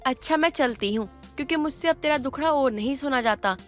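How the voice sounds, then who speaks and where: A woman's voice: sits high, brisk, lightly acted. A woman voicing an outspoken, teasing neighbour with a wry, chiding manner in a moral story cartoon. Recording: music under the voice.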